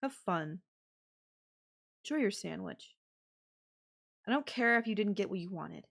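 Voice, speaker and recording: A possessive yandere girlfriend, acted by a woman for an audio roleplay, with a mid-pitched female voice. She takes her time over her words, sweetly condescending and sarcastic, with menace under everything she says. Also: animated, vocal fry. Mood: irritated, stressed. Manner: slow, sarcastic, sweetly condescending with veiled threats. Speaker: voice actor.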